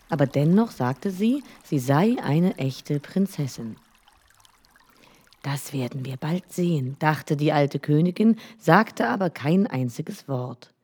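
Gentle woman reading a bedtime tale. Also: sits low. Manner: gentle, expressive. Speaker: storyteller.

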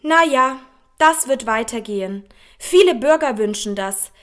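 High-voiced female online commenter. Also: expressive, measured pace. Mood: resigned, sceptical. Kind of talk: radio drama collage reading of social media comments.

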